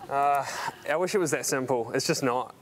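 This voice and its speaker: A man's voice: medium pitch, normal speed, a little hiss or hum, rough recording. A man in his thirties with a New Zealand accent, a band member chatting casually as a guest in a TV news studio, rambling and laughing easily. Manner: easygoing, rambling, laughs often. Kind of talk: casual TV interview chat.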